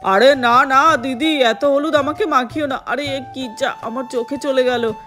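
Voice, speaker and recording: A woman's voice: high-pitched, measured pace, a bit bright, soft background music. A woman voicing a reluctant young groom who grumbles and protests in a doll play. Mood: protesting. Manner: whining, protesting.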